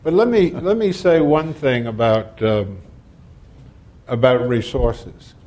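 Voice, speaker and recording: A man's voice: mid-range voice, slow pace, darkish tone. Older man, wry panel storyteller. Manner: anecdotal, wry, acts out quoted exchanges. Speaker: panelist, former diplomat. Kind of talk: panel Q&A answer. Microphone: panel microphone.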